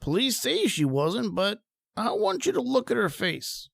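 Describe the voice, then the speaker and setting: A woman playing a knowing, wry morgue attendant with a deliberate, drawn-out delivery in a 1940s radio drama. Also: medium pitch, wildly swooping pitch, gravelly, dry recording, full character voice. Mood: grave, insistent.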